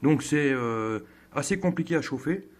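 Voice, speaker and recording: A man's voice: a little high, animated, moderate pace. A man talking casually as an amateur radio operator, hesitantly, often repeating his phrases.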